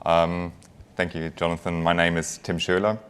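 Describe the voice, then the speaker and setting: Deep-voiced man with a German accent. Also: flat delivery, moderate pace, a bit bright, smooth. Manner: conversational, engaging, light humour with the audience. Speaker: finance executive giving a conference talk. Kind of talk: conference presentation.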